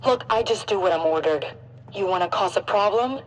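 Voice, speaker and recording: An actress voices a brazen young woman rogue passing herself off as a trooper in a video game. Her voice is mid-pitched and casual. She talks like someone cockily making it up as she goes. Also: fairly quick, lightly acted, poor audio. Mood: cocky bluffing. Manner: casual, cocky, improvising. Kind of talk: cinematic game acting.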